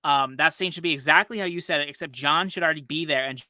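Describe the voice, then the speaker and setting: A man talks in a very bright, forward voice that sits a bit high. He sounds like a podcast co-host in casual conversation, slipping into imagined dialogue to make his case. The sound is dry, with no room around it. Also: fast talker, no room sound. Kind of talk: casual chat.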